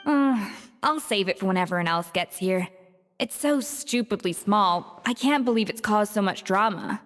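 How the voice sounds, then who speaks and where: A woman's voice: medium pitch, moderate pace, a bit bright, close to an everyday voice. A woman acting the part of a proud, exacting young chef in a video game, earnest and candid, speaking in an articulate, emphatic way. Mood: exasperated.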